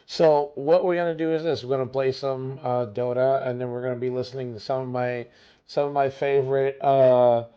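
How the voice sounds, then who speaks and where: A man's voice: mid-range voice, steady tone, a little slow, a little mellow, clear, resonant tone, dull audio. A man streaming games who is losing his voice, chatting casually with viewers and rambling with many fillers. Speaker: gaming streamer.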